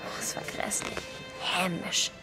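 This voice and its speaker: A woman's voice: a little slow, music in the background, close to an everyday voice. An actress playing a frightened, indignant young woman in an audio drama, stammering hesitantly at times and speaking emphatically. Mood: uneasy. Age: young adult.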